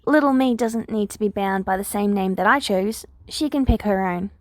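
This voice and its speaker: A woman's voice: medium pitch, normal speed, no room sound, close to an everyday voice. A woman voicing an earnest, eager older girl, a polite and persuasive hero student in a story. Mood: soft.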